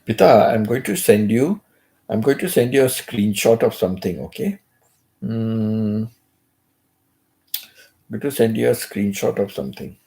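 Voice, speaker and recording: An older man hosting a casual chat from his bed, keeping his voice low and nearly whispering, so it comes out hoarse. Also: a little slow, long pauses. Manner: speaks softly, almost whispering; hums tunelessly between thoughts. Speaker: channel host. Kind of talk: casual rambling livestream chat. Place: bedroom, in bed.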